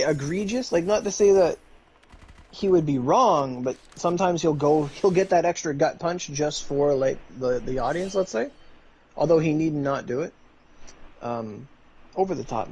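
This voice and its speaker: A man's voice: a little high, a little mellow, husky, rough recording. A man streaming, chatting casually in a rambling way full of fillers and restarts.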